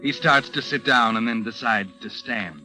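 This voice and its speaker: A man's voice: mid-range voice, moderate pace, bright, forceful tone, rough recording. An actor playing a sly, mocking storyteller who needles the guilty man in a 1940s radio suspense drama. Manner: taunting, addresses the character as "you". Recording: old 1940s radio recording. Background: dramatic music.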